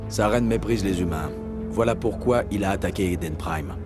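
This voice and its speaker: An actor playing a blunt, determined soldier hero in a French video game, with terse, direct lines. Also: male voice, low voice, normal speed, music in the background, lightly acted. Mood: accusing.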